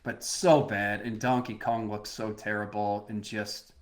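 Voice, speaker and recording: A man in his thirties co-hosting a podcast, telling lively stories and laughing easily. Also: fairly low pitch, measured pace. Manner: animated storyteller, laughs easily, restarts sentences. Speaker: podcast co-host. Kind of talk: casual chat.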